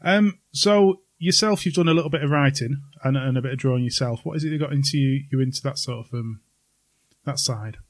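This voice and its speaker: A man's voice: medium pitch, brisk, no room sound. A man hosting a casual interview, asking questions in an informal, agreeable, conversational way. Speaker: podcast host.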